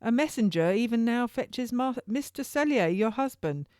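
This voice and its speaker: An urgent young male turnkey from a historical audiobook, acted out by a woman. The voice is lifted well up in pitch and presses each archaic, formal phrase with earnest emphasis. The sound is dry. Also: animated, moderate pace, a little mellow, no echo, natural voice. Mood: earnest, official. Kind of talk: audiobook character dialogue.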